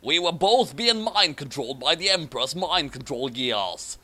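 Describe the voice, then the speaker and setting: A man's voice: fairly high pitch, expressive, normal speed, sharp tone. A man voicing a matter-of-fact adventurer who explains himself in a comic story reading.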